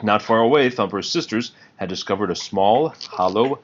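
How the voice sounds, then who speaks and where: Male storybook reader, low register, expressive melody, slightly dull home recording with light background noise. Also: moderate pace. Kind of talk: children's read-aloud narration.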